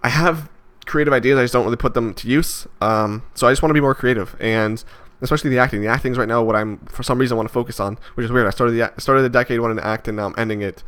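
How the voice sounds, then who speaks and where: A man's voice: medium pitch, fairly even intonation, quick pace, hardly any pauses, fairly bright tone, smooth. A man in his twenties musing aloud in a vlog, hesitant and rambling, with many fillers and restarts. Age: 20s. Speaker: vlogger. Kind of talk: reflective casual monologue.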